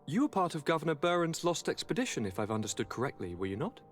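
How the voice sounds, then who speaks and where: An actor playing a gracious, polished aristocratic governor in a video game, with ornate, polite manners. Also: male voice, on the high side, sing-song, fast talker. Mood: gracious, eager. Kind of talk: video game cutscene acting. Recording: background music with brass.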